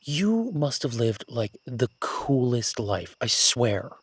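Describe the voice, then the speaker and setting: A man playing an excitable, nerdy young academic on his first jungle expedition in a roleplay audio drama, gushing, stammering and apologetic. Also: medium pitch, slow pace, breathy edge, no echo, heavily acted. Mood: giddy excitement. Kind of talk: immersive roleplay audio drama, one-sided conversation.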